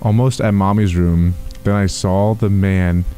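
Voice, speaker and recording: A man's voice: low-pitched, fairly even intonation, measured pace, mellow. Guy in his 20s reacting to a creepy vid, chatty and jumpy, music going behind. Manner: jumpy, chatty, easily spooked. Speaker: reaction video host. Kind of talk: casual reaction commentary.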